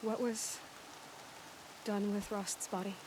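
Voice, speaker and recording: Young woman huntress, acted, game dialogue, inquisitive, direct, restrained, determined, mid-pitched, noticeable rain and hiss behind. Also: level tone, slow, lightly acted. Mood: subdued, concerned. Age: young adult. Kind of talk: video game dramatic dialogue.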